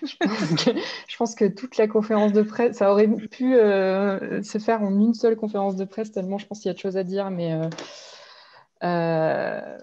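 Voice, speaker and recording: A woman's voice: mid-range voice, fairly even intonation, moderate pace, mellow, lo-fi sound. A woman, a guest artist, answering press questions on a video call, hesitant and full of fillers and restarts. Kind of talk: online press conference answers. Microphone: video call mic.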